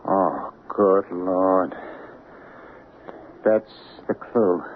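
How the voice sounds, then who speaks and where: An actor playing an anxious, guilt-ridden husband in an old-time radio drama, halting and muttering, on a tinny recording. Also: male voice, low voice, fairly even intonation, slight background noise. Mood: anxious, fixated. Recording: tinny old radio broadcast, music behind.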